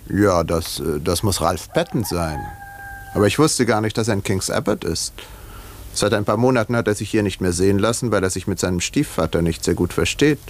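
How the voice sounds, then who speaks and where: Low-voiced village doctor, animated yet measured. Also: male voice, slight background noise. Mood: polite, puzzled. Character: village doctor and narrator, measured, discreet, observant. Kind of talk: 1950s German radio play acting and narration.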